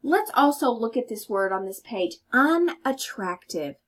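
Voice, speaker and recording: A woman with a fairly high voice reads a picture book to children. She is instructive, explaining words and inviting listeners to think. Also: very animated, a little slow, a bit bright. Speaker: storybook reader and teacher. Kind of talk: children's read-aloud with explanations and questions.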